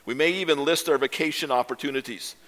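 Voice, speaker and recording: An older male pastor preaches in an unhurried, reflective way, pausing now and then to find his words. His voice sits slightly higher than most men's. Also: fairly bright tone. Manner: measured, reflective preaching with occasional hesitations. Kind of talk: sermon.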